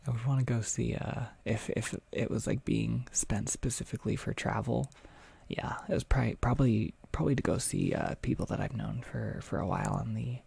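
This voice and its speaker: A man with a gravelly, husky voice hosts a calm livestream, reading viewers' messages aloud. He talks softly, often dropping to a half-whisper, with many small pauses and fillers. His nose is a little stuffy, and he sits close to the microphone. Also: mid-range voice. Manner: soft, halting, with frequent fillers and half-whispered stretches. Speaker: ASMR audio creator hosting a livestream. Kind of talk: soft-spoken casual livestream chat, reading chat.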